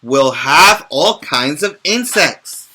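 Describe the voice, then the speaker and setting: A cheerful storybook boy eagerly offers his help, his voice bright and pitched a little high as a male reader voices him. He talks slowly, in the style of a picture-book read-aloud. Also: expressive, slightly rough, exaggerated voice. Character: friendly helpful storybook friend, a boy.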